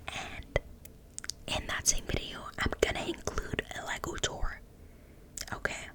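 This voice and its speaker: A woman with a French accent whispering as she reads a book aloud in ASMR style, softly stumbling over words and correcting herself. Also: unhurried. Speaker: ASMR creator.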